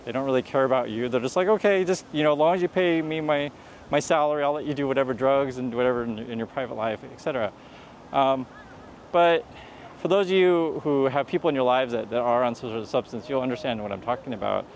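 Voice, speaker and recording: A man's voice: mid-range voice, fast talker, slight background noise. A man vlogging while walking outside, giving earnest advice in a rambling way, with many restarts. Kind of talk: casual vlog commentary while walking. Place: outdoors on a street near traffic.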